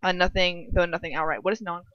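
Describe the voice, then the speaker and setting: A woman's voice: lowish voice, fairly even intonation, fast talker, no echo, dull audio. A young woman co-hosting a fan fiction read-aloud, chatting and reading casually with informal, wry asides.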